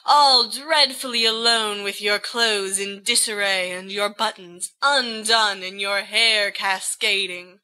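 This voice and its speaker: A woman's voice: fairly high pitch, bright, forceful tone, full character voice, poor audio. A woman playing a flamboyant, lovesick young man, theatrically reading out a cheeky, gushing love letter. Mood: melodramatic, adoring.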